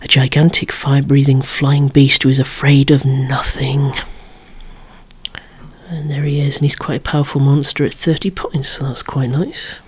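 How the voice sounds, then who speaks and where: Hushed, breathy ASMR whisperer. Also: mid-range voice, little pitch movement. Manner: hushed, unhurried, musing with wry asides. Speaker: toy collector presenting a collection. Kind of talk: whispered ASMR show-and-tell.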